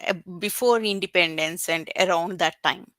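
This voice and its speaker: A woman from India, who speaks English as a second language, chatting casually as a guest, pausing often with fillers. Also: on the low side, a bit bright, no room sound. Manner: hesitant, many fillers. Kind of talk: casual chat.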